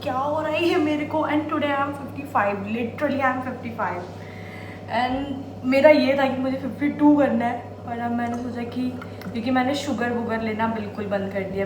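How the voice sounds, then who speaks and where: A woman's voice: fairly high pitch, roomy sound, background noise. Chatty young woman vlogging at home. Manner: chatty, mixes English words into Hindi. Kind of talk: casual vlog chat. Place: home kitchen.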